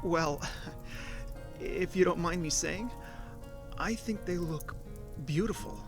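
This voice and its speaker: A man playing an awkward, frustrated office worker in his late twenties in an audio drama, with halting, stammering speech. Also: high-pitched, music in the background. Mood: shy, nervous. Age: late 20s.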